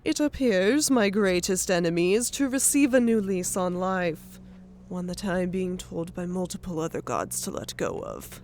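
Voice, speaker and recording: A woman's voice: on the low side, expressive, moderate pace, a little mellow, slight background noise, lightly acted. A woman playing a proud, grudging warrior goddess with a blunt manner in an audiobook drama. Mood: grave. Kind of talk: audiobook character acting.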